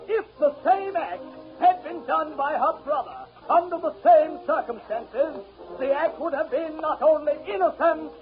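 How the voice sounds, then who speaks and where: Earnest courtroom lawyer, declamatory, high-pitched, 1930s radio drama, phone-like recording. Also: male voice. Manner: declamatory, formal, projected.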